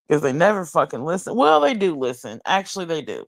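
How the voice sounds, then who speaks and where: A woman hosting a late-night livestream, talking bluntly and profanely, musing slowly with many restarts while high. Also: vocal fry, no echo, clean studio sound. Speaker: livestream host. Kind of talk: late-night casual commentary. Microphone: streaming mic.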